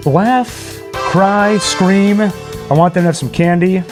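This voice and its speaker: High-voiced man hosting a casual livestream. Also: slow. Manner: chatty, jokey, rambling. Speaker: livestream host. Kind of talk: casual livestream chat and sign-off. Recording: music playing behind the voice. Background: Halloween novelty songs.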